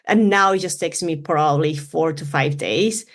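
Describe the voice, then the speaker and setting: A woman's voice: low-pitched, moderate pace. A woman from Venezuela with a Spanish accent, speaking English in a casual interview, rambling with many fillers. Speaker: running influencer guest.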